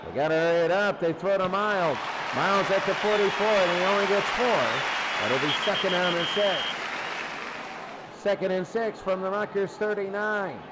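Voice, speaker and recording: Man, sports announcer, high voice, live football commentary, loud crowd noise behind. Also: moderate pace. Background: loud stadium crowd.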